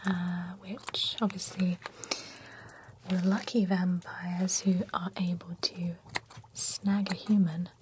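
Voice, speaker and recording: A woman whispering as a chatty female vampire who grooms a werewolf at a spa, soft and reassuring. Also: fairly low pitch, level tone, takes it slowly, noisy background. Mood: whispery, reassuring. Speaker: ASMR roleplay performer. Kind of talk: whispered ASMR roleplay.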